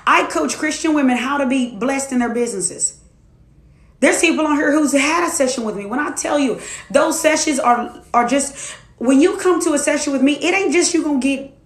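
A woman's voice: high voice, a bit bright, echoey room. A woman in her twenties, a minister and life coach, preaching her testimony to viewers in an emphatic, repetitive cadence. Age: 20s.

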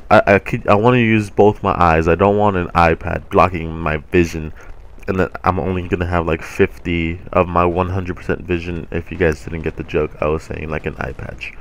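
A young man with a very low, deep voice rambles chattily over gameplay, full of ums and restarts. His tone stays smooth and resonant even though his throat is a little sore. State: sore throat from swollen tonsils. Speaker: gaming channel host.

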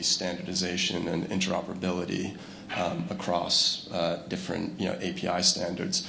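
A man speaking on a conference panel into a handheld mic, measured and full of fillers and restarts. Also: low-pitched, gravelly, fairly bright tone, a little hiss or hum. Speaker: startup CEO panelist. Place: large conference room with audience. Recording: echoey hall, faint ambience.

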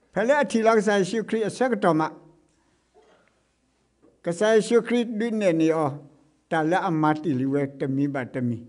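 A middle-aged man preaching a sermon with a Karen accent. He speaks into a stand mic on a church stage, swinging between loud exclamations and quiet passages. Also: high voice. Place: church stage before blue curtain. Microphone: stand mic close to mouth.

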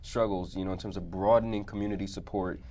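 A man's voice: sits low, fairly even intonation, quick pace, mellow. A young man in his twenties moderating a TV studio panel, speaking casually with many likes and you-knows. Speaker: host, moderator.

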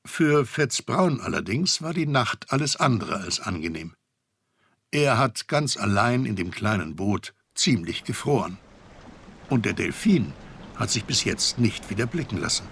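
A man's voice: on the low side, very animated, a little slow, vocal fry, a bit bright, slightly rough, dry recording. A man narrating a children's audio drama as a scripted storyteller.

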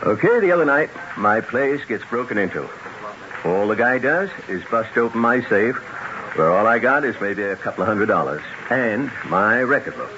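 An actor playing an irritated, talkative gun dealer with brash, slangy speech in a 1970s radio drama. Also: male voice, fairly low pitch, lively intonation, normal speed, sharp tone. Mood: brash, boastful. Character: irritated, talkative gun shop owner, a man. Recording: muffled, noisy 1970s radio recording.

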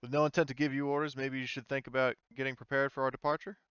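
A man's voice: medium pitch, little pitch movement, fast, fairly bright tone, clear, resonant tone, dry recording, dull audio, pitched above the performer's own voice, natural voice. A man playing a polite, cautious male scientist in a tabletop game, speaking hesitantly and formally. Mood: polite, tactful.

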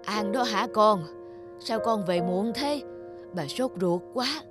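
A gentle elderly country grandmother in an animated folk tale. She speaks tenderly and patiently, with a caring, worried temper. Music runs under the voice. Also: mid-range voice, slow. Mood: worried, loving.

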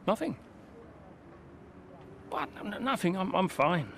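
Video game veteran driver: middle-aged, weary, earnest, halting delivery, clear ambient noise behind. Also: male voice, sits high, big pitch swings, a bit bright, full character voice. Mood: evasive, troubled. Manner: hesitant, halting. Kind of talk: dramatic dialogue in a game cutscene.

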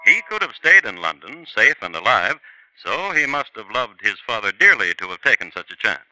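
A man's voice: on the low side, very animated, moderate pace, bright, forceful tone, no room sound, low-quality recording. A man narrating a 1950s radio crime drama in a dry, wry storytelling manner.

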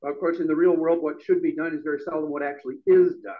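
A middle-aged man giving a loud, emphatic speech at an open-mic forum in a bar room. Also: a little high, fairly even intonation, fast, phone-quality sound. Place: bar room with a small audience. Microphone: stand mic.

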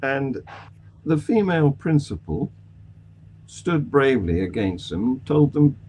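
An older man presenting news items to a panel as host over a video call, halting and deliberate, with pauses. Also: medium pitch, sing-song, a little mellow, rough recording. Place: small room at home, indoors. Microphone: video call microphone. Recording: video call with light humming noise.

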